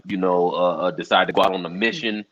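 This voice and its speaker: A man hosting a casual interview, asking probing, slangy questions in a measured way. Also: low voice, fairly even intonation, a bit bright. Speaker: interview host. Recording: muffled lo-fi audio.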